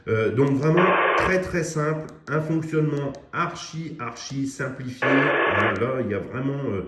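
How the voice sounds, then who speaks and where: A man's voice: medium pitch, measured pace. Man casually demoing a CB radio. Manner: chatty, many fillers, addresses viewers directly. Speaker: radio equipment reviewer. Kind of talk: casual product demo. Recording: echoey room, faint noise.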